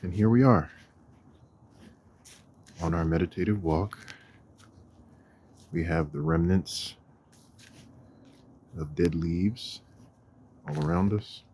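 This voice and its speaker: Male meditation guide, very low and husky, measured and unhurried delivery with long pauses. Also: darkish tone, slight background noise. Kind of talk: guided walking meditation narration. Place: outdoors on a walk.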